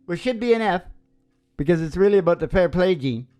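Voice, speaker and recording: A woman's voice: fairly low pitch, expressive, brisk, dark tone, soft background music. A woman hosting a show with casual, rambling banter, philosophizing and joking in a colloquial way. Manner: philosophizing, jokey, colloquial with swearing. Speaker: radio show host and musician.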